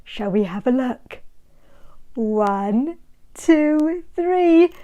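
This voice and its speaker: A woman reading a picture book aloud to small children, sing-song and inviting, drawing the listener in with questions and hushed counting. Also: high voice, expressive, extremely slow, muffled recording. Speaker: storybook reader.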